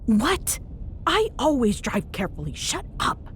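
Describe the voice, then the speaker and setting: A woman's voice: wildly swooping pitch, a little slow. A woman playing a teasing, bossy but fond young ghost hunter in an intimate ASMR roleplay, bantering sarcastically in a casual, murmuring way. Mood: playfully defensive. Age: young adult. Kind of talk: ASMR audio roleplay, intimate one-sided chat. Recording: clear background noise with low rumble.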